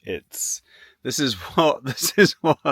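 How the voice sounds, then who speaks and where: A man's voice: medium pitch, very animated, takes it slowly, husky, no room sound, clean studio sound. A British man talking casually to camera as a vlogger, in a chatty, informal, sweary way. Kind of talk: casual rambling vlog chat.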